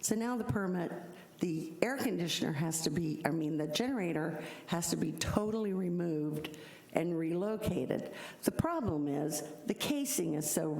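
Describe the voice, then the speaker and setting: Loud-voiced woman testifying in echoey hall. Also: low-pitched, vocal fry. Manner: earnest, explanatory, self-described loud voice. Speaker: insurance agent addressing a code hearing. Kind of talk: public hearing testimony. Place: city hall hearing room. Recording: echoey hall from council mic.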